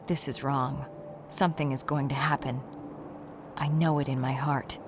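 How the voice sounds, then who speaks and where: A woman's voice: a bass voice, flat delivery, moderate pace, noisy background. A woman voicing an earnest, troubled young seer in a video game cutscene, with dramatic delivery.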